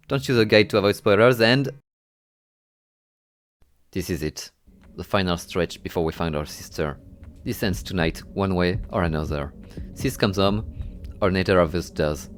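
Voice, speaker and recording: A man narrating a horror game playthrough from a script, moving between dramatic storytelling and wry, exasperated asides. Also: lowish voice. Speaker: video game reviewer and narrator.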